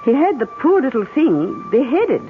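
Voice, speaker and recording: A woman's voice: high voice, very animated, a little slow, background noise. An actress playing a worldly, upper-class British lady in a radio drama, telling stories with arch, breezy understatement. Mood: wry, gossipy. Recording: tinny narrowband radio drama, faint music.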